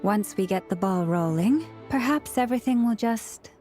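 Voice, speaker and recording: A woman playing a composed young priestess in a video game, speaking in a calm, formal, measured way. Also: medium pitch, faint music behind, lightly acted. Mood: courteous.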